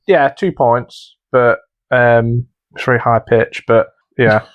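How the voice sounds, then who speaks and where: A man's voice: medium pitch, slow pace, no room sound, muffled recording. A man judging entries on a podcast, talking casually in a hesitant, polite way. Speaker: podcast judge of audio tasks. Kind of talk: casual unscripted podcast chat.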